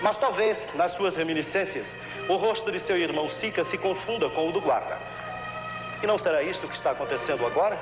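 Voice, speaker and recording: A man's voice: a little high, lively intonation, a little fast. A man hosting an old television show from a script in a solemn, florid, declamatory style, on an archive broadcast. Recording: echoey archive TV broadcast with music.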